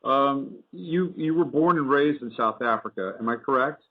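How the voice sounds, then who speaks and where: A man hosting a radio interview, talking in a halting way with many fillers as he asks his questions. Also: mid-range voice. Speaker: radio show host. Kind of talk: interviewing, conversational. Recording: tinny phone-like sound.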